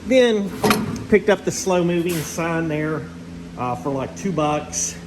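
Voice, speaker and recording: A man's voice: fairly high pitch, animated, slow pace. A man vlogging casually off the cuff in a folksy, rambling, slangy way. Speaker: host of a garage and farm vlog. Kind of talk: casual vlog chat, off the cuff. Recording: clearly noisy ambient background.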